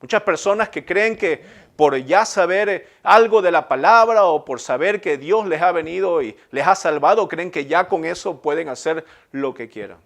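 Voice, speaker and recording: A man's voice: a little high, expressive, normal speed, fairly bright tone. A middle-aged man from Ecuador with a Guayaquil Spanish accent, preaching a sermon as pastor with emphatic repetition and rhetorical questions.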